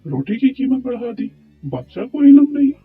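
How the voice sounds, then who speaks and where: A man's voice: very high-pitched, big pitch swings, moderate pace, heavily acted. A man playing a deferential royal minister in a cartoon story, speaking in a courtly, formal way. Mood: puzzled. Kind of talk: Urdu moral cartoon story dialogue. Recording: tinny phone-like sound, music bed.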